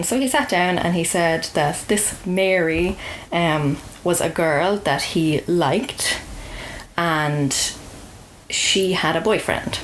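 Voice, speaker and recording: Irish woman, lowish voice, chatty dry storytelling on a podcast, bit of noise behind her. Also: rough recording. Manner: wry, understated, anecdotal. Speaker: podcast guest telling a personal story. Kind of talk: casual conversational storytelling.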